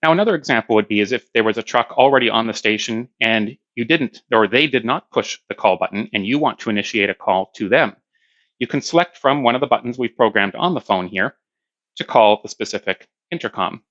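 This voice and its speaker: A man with a fairly low voice and a crisp, forceful edge gives an instructional product demo. He explains each step plainly and practically. The sound is very dry and a little band-limited. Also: animated, a little fast, dry recording, dull audio. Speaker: product demonstrator. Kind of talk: hands-on instructional demonstration.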